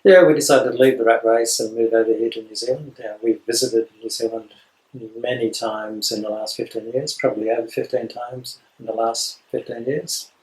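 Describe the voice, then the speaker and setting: An Australian man in his late fifties talking about house sitting, rambling with many pauses and dry jokes. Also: mid-range voice, steady tone, darkish tone, echoey room, rough recording. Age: 50s. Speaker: house sitter. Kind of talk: casual self-introduction video.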